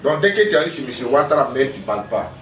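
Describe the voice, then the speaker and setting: A man's voice: medium pitch, sing-song, quick pace, fairly bright tone, distant mic. Earnest healer man, echoey veranda. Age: 50s. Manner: earnest, repetitive, self-promoting. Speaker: traditional healer. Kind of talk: casual interview. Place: outdoor veranda by a house wall.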